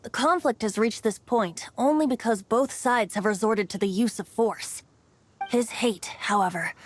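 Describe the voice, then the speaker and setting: A woman's voice: mid-range voice, close to an everyday voice. A woman voicing a whimsical boyish young bard in a video game, theatrical and coaxing, with a lyrical way of phrasing.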